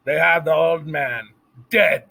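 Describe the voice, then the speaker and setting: A man doing a scornful, shouting castle servant woman. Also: a little high, slow, pitched above the performer's own voice, heavily acted. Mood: indignant.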